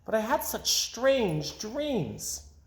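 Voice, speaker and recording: A man voicing a dreamy, sleepy young girl from a picture book with drawn-out, wistful delivery. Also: sits high, very animated, pitched above the performer's own voice, heavily acted. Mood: dreamy, hungry. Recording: roomy, echoing sound.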